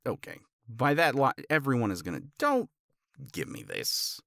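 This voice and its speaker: A man playing a tender, teasing older boyfriend in an intimate romance ASMR roleplay, murmuring in a halting, playful way. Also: mid-range voice, huge pitch swings, creaky, sharp tone, no room sound, clean studio sound, full character voice. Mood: playful exasperation. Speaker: audio roleplay voice actor.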